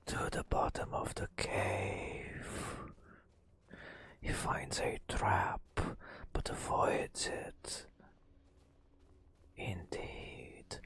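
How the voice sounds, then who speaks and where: A man whispers an ASMR tale over fantasy gameplay in a breathy, crisp-edged voice with a low murmur beneath. He unfolds it extremely slowly, like a storyteller, with dramatic pauses between short phrases. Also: fairly bright tone. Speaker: ASMR gaming narrator.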